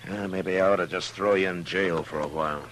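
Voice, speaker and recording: Low-voiced weary frontier marshal. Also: male voice, steady tone, brisk, a bit bright, a little hiss or hum. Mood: firm, dry. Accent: American. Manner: dry, understated authority. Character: weary, firm, dry-humored frontier marshal. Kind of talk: 1950s Western radio acting. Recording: old radio drama with music.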